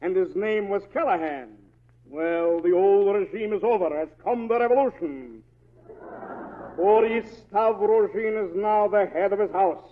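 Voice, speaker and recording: An actor playing an excitable, domineering husband with a pompous, declamatory delivery in an old radio play. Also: male voice, sits high, unhurried. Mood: pompous triumph. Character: excitable Russian husband, rebellious and domineering over his wife. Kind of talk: 1940s radio acting. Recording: dull lo-fi old radio recording.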